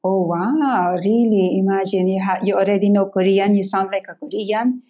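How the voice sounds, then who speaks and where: A woman hosting an interview, asking questions in an informal, halting way. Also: mid-range voice, steady tone, warm tone, highs cut off. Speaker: host. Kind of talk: casual interview.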